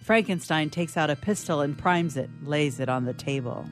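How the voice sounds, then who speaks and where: A woman's voice: low-pitched, normal speed, faint music behind. A woman narrator reading scripted stage directions for a radio drama.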